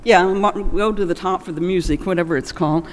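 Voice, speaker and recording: A woman's voice: on the low side, fairly quick. An older woman telling informal, folksy anecdotes as a remembrance speech in a large church. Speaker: friend giving a remembrance. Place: large church, at a memorial gathering. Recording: long echo of a large church hall.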